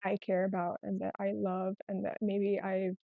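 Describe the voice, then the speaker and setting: A woman's voice: medium pitch, completely flat delivery, normal speed, gravelly. A woman whose first language was Spanish, telling personal stories casually and candidly as a podcast guest. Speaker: podcast guest, small business owner. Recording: roomy, echoey, slightly dull sound.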